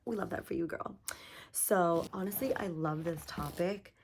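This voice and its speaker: A young woman hosting a self-help podcast from her bedroom, her voice partly lost and hoarse, talking in an upbeat, chatty way with lots of "like"s. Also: lowish voice, moderate pace, slight background noise. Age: young adult. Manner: chatty, upbeat, frequent likes and laughs. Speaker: podcast host.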